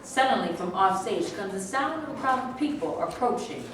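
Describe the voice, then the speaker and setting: A woman's voice: medium pitch, expressive, brisk, a bit bright, a little hiss or hum. A woman reading stage directions aloud in a plain, matter-of-fact way during a staged reading. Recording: echoey room, mic far off.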